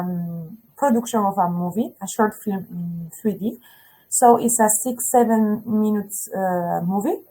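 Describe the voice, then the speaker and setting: A woman's voice: mid-range voice, mellow, rough recording. A woman who speaks as a presents a school webinar on a video call in English, hesitating often with fillers.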